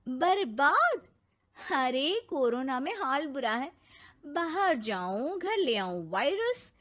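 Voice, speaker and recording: Lady doing a cocky tomboy bride, blunt, high voice, swoops around a lot, slow delivery. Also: big pitch swings, a bit bright, heavily acted. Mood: argumentative. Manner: bold, blunt, challenging. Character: brash confident tomboy young bride who loves bikes. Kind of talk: Hindi family story dramatization.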